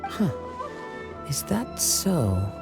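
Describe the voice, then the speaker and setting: An actor playing a calm, polite young wandering swordsman in a video game, gentle, thoughtful and composed in manner. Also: a little high, drawn-out words, vocal fry, over music, exaggerated voice. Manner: courteous, composed. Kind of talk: video game dialogue acting.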